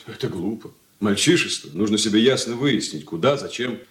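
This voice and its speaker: An actor playing a sardonic, condescending elder brother in a Soviet radio drama, mocking and patronizing in manner. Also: male voice, on the low side, lively intonation, a little fast, roomy sound, close to an everyday voice. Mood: dismissive.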